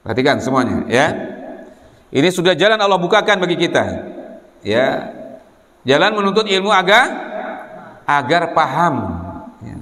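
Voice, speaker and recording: A man's voice: fairly high pitch, sing-song, normal speed, pauses often, pushed and bright. A man from Indonesia preaching to students in a didactic way, putting questions to his listeners, in a reverberant hall. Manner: didactic, asks rhetorical questions and prompts listeners to answer. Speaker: Islamic boarding school preacher. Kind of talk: sermon to students. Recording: echoey hall with long reverb.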